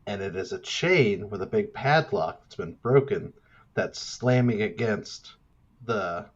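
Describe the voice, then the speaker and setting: A man's voice: lowish voice, expressive, measured pace. A man casually improvising narration as the director in a tabletop horror-comedy game. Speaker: player acting as director of the story. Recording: slightly dull, muffled audio.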